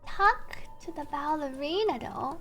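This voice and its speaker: A woman's voice: sits high, expressive, a little slow, a bit bright. A woman streaming a game, chatting playfully and reading on-screen text aloud in a storytelling way over game music. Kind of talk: live gameplay commentary, reading subtitles and narration aloud. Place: indoor stream setup with game music behind.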